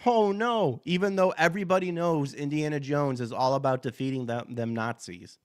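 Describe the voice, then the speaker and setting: Hoarse man ranting on a podcast. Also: on the high side, moderate pace. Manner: blunt, profane, ranting asides with fillers. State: hoarse from allergies. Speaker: podcast host. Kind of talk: casual commentary reading news articles aloud.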